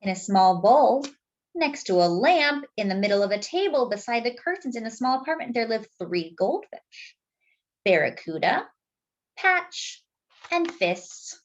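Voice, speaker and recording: A woman reads a children's picture book aloud with a highish voice that rises and falls a lot. She speaks to young listeners directly and expressively, leaving dramatic pauses as a storytime host would. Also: very animated, dull audio.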